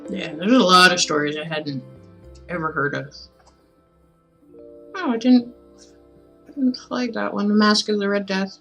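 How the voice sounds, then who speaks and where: A woman's voice: mid-range voice, fairly even intonation, measured pace, long pauses, a bit bright, faint music behind. A woman chatting casually as a livestream host, rambling and musing aloud in an informal way. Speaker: streamer reading stories aloud.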